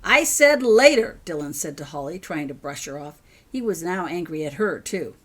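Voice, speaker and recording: A woman's voice: sits low, moderate pace, breathy edge. A woman narrating scripted fiction as an author reading her own story.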